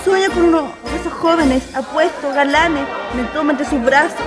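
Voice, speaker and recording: A woman's voice: high-pitched, normal speed, lightly acted. A woman playing a lovestruck, dreamy young Chilean woman in a radio play, sighing and gushing theatrically. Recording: music and crowd noise behind. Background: band music and crowd.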